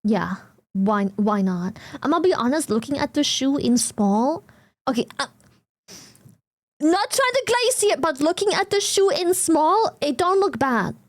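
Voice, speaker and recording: A woman's voice: sits high, very animated, measured pace, no room sound, studio quality. A woman from Germany with a German accent, chatting casually on a live stream, laughing often and swearing bluntly.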